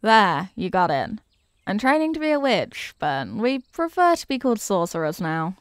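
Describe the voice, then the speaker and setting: A woman playing a young, eager and kindly apprentice witch in an ASMR roleplay, chatty, teasing and expressive as she talks straight to the listener. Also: medium pitch, normal speed, smooth, dry recording, clean studio sound, lightly acted. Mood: earnest, indignant. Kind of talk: ASMR character roleplay, scripted.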